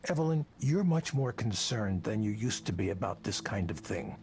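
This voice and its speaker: An actor playing a persuasive, defensive tech project leader in a visual novel, speaking emphatically and theatrically in self-justification. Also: male voice, fairly low pitch, sing-song, normal speed, darkish tone. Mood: earnest, probing.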